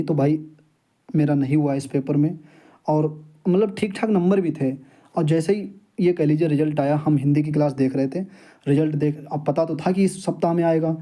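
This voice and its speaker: A man's voice: fairly high pitch, dark tone. A young man talks casually to his viewers as a fellow exam candidate, explaining and advising in a repetitive, peer-to-peer way. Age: young adult. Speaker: exam-preparation YouTuber and candidate. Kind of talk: casual informal vlog talk to viewers.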